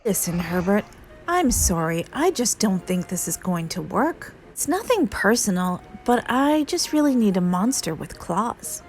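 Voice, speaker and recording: A woman's voice: medium pitch, big pitch swings, a little slow, a little mellow, faint music behind. A woman voicing a young boy in a children's storybook, anxious but blunt, picky and politely insistent.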